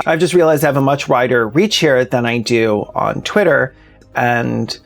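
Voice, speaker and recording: A man's voice: medium pitch, gravelly. A man narrating a video essay as the channel's host, speaking directly to the audience.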